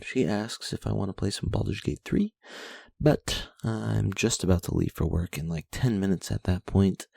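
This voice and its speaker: Very low, gravelly young man. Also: warm tone, no echo. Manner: profane, self-deprecating, rambling. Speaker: vlogger reading his journal. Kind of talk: late-night journal reading, casual.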